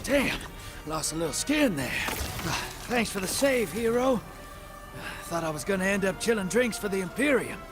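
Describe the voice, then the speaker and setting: An actor playing a wisecracking, roguish smuggler in a sci-fi video game, talking in a glib, colloquial way. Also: male voice, high-pitched, expressive, normal speed. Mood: cheeky, relieved. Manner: glib, cheeky, loose and colloquial. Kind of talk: video game character dialogue. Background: game music.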